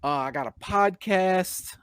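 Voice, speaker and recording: A man hosting a casual live stream, chatting informally with slangy shout-outs to viewers, his voice slightly hoarse from long talking. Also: high voice, measured pace.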